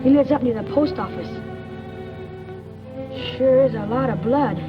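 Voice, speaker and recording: A woman acts out a chatty, curious young child with a high-pitched voice and a defiant streak. The delivery is 1940s radio acting, on a dull vintage recording with music behind it. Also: a little slow, lightly acted.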